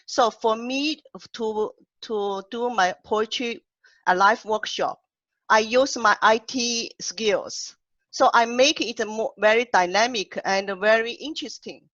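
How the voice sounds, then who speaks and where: A woman's voice: a little high, slow pace, a bit bright, dry recording. Woman with a heavy accent, dull call audio. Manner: heavy non-native accent, searching for words. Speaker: translator and co-host. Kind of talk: casual online panel discussion. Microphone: video call.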